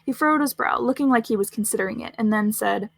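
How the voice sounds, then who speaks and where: High, creaky-voiced woman narrating. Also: quick pace, dry recording. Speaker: fan fiction podcast narrator. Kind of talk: scripted story narration.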